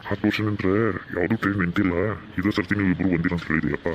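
A man's voice: fairly even intonation, very fast, natural voice. A man playing a morbid, fatalistic old village man in an amateur cartoon, speaking with a North Karnataka Kannada accent and an ominous, matter-of-fact manner. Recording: muffled low-fidelity track with background noise.

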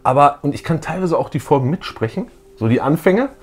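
A man's voice: a little high, animated, brisk. A middle-aged man, chatting casually as a co-host in a fan show. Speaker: YouTube co-host. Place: small room full of collectibles, indoors.

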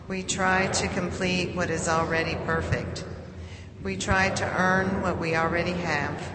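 A woman leading a congregation through a prayer of confession in a measured reading. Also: on the low side. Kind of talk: slow unison prayer reading. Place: church sanctuary. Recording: church hall reverb with loud room noise.

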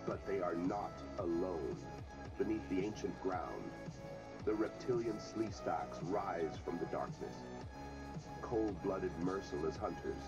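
A man's voice: low-pitched, expressive, takes it slowly, exaggerated voice. A man playing an ominous, grandiose movie trailer announcer, narrating dramatically. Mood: ominous, dramatic. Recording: dull audio under loud music. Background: loud music.

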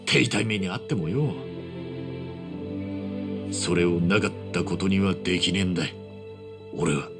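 Gravelly, loyal street-tough man. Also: low voice, measured pace, long pauses, music in the background. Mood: heartfelt, urging. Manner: rough colloquial speech, earnest and heartfelt. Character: loyal, earnest street-tough man urging his friend to atone. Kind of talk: dramatic game cutscene acting.

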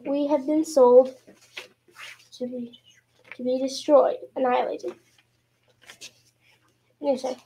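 A woman's voice: sits high, little pitch movement, mellow, rough recording. A woman playing a pleading queen in an amateur radio play, stumbling as she reads her script. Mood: hesitant pleading. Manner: halting, stumbling script reading.

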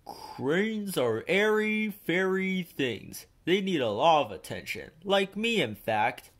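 A man voicing a pompous, self-important big steam engine from a children's storybook, haughty and drawn-out. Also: high voice, sing-song, fairly bright tone, voice pushed up, heavily acted.

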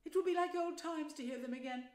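A woman plays a gentle little storybook mole, a high-voiced fellow who wears his feelings openly. He speaks earnestly and eagerly, in a room with plenty of echo. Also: expressive, moderate pace. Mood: touched pride. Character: gentle male mole, proud, eager, easily distressed host. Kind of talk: children's storybook character reading.

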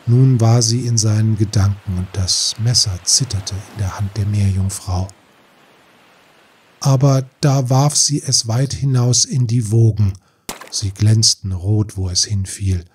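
A man narrating a fairy tale audiobook in a measured, literary storytelling manner. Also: fairly low pitch, slight background noise. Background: soft music.

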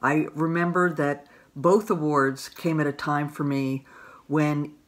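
A middle-aged woman introducing award winners in a scripted talk to camera, measured and grateful. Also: low voice. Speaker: host presenting awards. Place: small room indoors.

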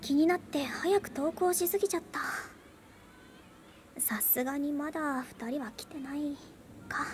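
A woman voicing an earnest, wistful teenage schoolgirl in a Japanese video game, speaking softly and hesitantly. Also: sits high, a little slow, a little hiss or hum. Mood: pensive.

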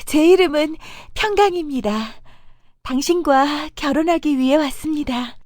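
A woman playing a gentle, determined young princess in a children's folktale, with polite, formal speech. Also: high voice, a little slow, soft background music. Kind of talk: children's animated folktale acting.

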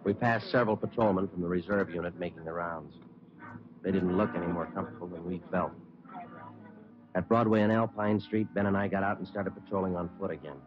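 A man playing a terse, matter-of-fact police detective who narrates his case deadpan in an old radio crime drama. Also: sits low, brisk, close to an everyday voice. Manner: deadpan, understated. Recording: muffled old radio recording with music.

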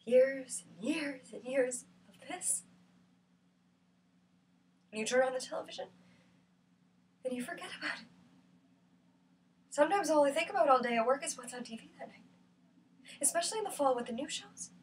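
A high female voice, recorded at some distance from the mic, an actress playing a lonely and bitter woman in a stage monologue. Her delivery is intense and halting, raw with hurt, moving between hushed words and outcry. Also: lively intonation, slight background noise. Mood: wistful, fragile. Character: lonely, bitter, wounded woman who feels ugly. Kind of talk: theatrical monologue performance.

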